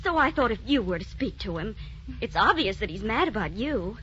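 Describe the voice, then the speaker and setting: An actress playing a lovestruck, headstrong young daughter with an eager, pleading, petulant manner in a radio drama. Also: female voice, mid-range voice, sing-song, fairly quick, a bit bright. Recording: muffled old broadcast with clear noise.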